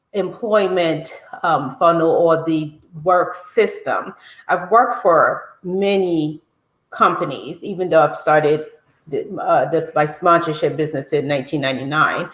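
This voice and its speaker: A woman's voice: sits low, slow pace, roomy sound, low-quality recording. A woman raised in Guyana, hosting a podcast and telling her story in an earnest, conversational way. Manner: earnest, storytelling, some restarts. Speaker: podcast host. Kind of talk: casual conversational podcast talk.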